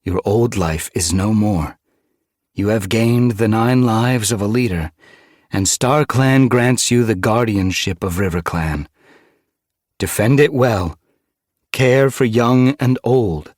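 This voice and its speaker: A man's voice: on the low side, slow, darkish tone, dry recording, studio quality, voice pushed up. Man voicing a solemn, ceremonial spirit cat. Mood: solemn, reverent. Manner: ceremonial, grandly declaiming. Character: solemn starry spirit cat granting a leader nine lives. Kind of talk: audiobook character voice.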